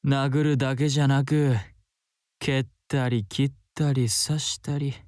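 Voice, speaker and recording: A man's voice: medium pitch, little pitch movement, fairly quick, no room sound, clean studio sound, natural voice. An actor playing a troubled, obsessive young man in a visual novel, intense and pleading. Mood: dark, confessional. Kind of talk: Japanese visual novel acting. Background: soft game music.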